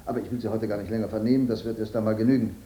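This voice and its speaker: A man's voice: fairly low pitch, steady tone, racing, dark tone, natural voice. A man playing a bureaucratic court director in a 1950s German radio drama, hesitant, official and condescending, in an echoey room. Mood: patronising, official.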